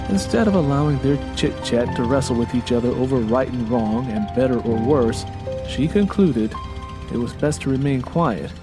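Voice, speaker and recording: An American man narrating a dramatic story from a script in a deliberate, expressive way. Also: mid-range voice. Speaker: audiobook narrator. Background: faint music bed.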